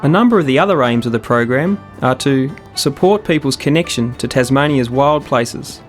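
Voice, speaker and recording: A man, a parks program officer, explaining clearly in a documentary interview. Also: mid-range voice, expressive, moderate pace, soft background music.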